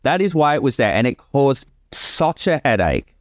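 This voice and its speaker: A man's voice: mid-range voice, big pitch swings, moderate pace, no room sound. A man giving casual creator commentary, chatty and explanatory, close on the mic. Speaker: commentary host, series creator.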